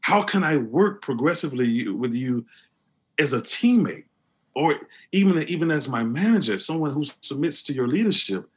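A man with a deep voice, speaking earnestly as an interview guest, often restarting his sentences. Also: animated, moderate pace, slightly rough. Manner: earnest, with many restarts and fillers. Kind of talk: conversational interview.

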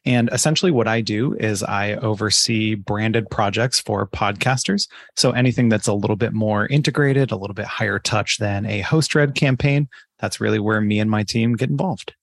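A man's voice: fairly low pitch, normal speed, gravelly, no echo, studio quality. A man giving casual explanations as an interview guest from a creative services team.

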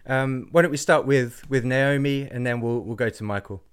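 A man's voice: mid-range voice, moderate pace, smooth. A man hosting a podcast, reading a scripted welcome and then easing into questions for his guests. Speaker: podcast host.

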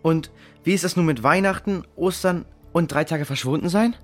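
Guy as sceptical curious boy in kids radio play, slightly high voice, blunt and questioning. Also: normal speed, soft background music, natural voice. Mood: sceptical, puzzled. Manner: questioning, colloquial. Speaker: actor in a children's audio drama. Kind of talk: German children's radio play acting.

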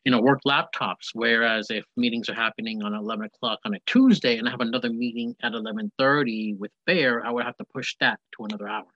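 A man's voice: medium pitch, quick pace, few pauses, sharp tone, no room sound, muffled recording. A man in his forties, a guest speaking on the phone, telling his story in a chatty, rambling way. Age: 40s. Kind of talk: casual phone interview.

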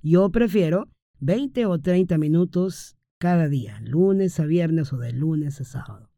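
Slow, patient woman teaching Spanish. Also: deep voice, expressive, warm tone, dry recording, clean studio sound. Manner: patient, explanatory, addresses the learner directly. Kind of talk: slow, clear Spanish lesson for learners.

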